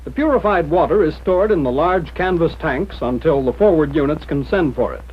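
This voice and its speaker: A man's voice: on the high side, animated, moderate pace. An American man reading a scripted 1940s military instructional narration in a plain-spoken, direct, instructive manner. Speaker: training film narrator. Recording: muffled, dull 1940s film soundtrack.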